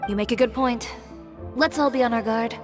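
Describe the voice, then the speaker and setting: A woman playing a composed young traveler in a video game, steady and level-headed even in tense moments. Her voice sits a little high. Loud game music runs behind her. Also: moderate pace, natural voice. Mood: calm.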